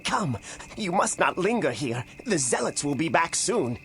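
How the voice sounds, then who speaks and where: An actor playing a friendly mystic ally in a fantasy game, speaking in an earnest, hushed, urging manner. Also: male voice, fairly high pitch, sing-song, a little slow, soft background music, full character voice. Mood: friendly urgency. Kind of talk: video game cutscene acting.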